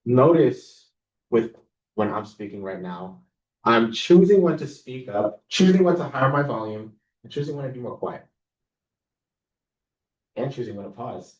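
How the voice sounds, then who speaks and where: A young man talks to a student club about public speaking, relaxed and confident, pitching his voice lower for authority. He is picked up from across a reverberant meeting room, and the sound is a bit dull. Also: moderate pace, long pauses. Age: young adult. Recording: roomy, distant mic, dull sound.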